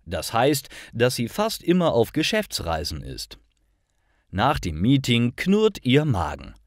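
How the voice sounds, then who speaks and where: Man, story narrator for language learners, careful phrasing, slow pace, dry close sound. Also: medium pitch, huge pitch swings, dry recording. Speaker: narrator of stories for German learners.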